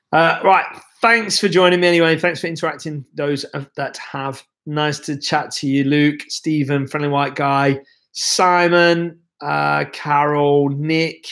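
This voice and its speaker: A young man hosting a casual livestream, chatting loosely and reading out viewer comments, his voice a bit worn from being ill. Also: fairly high pitch. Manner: relaxed, rambling, chatty with many fillers. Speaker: livestream host.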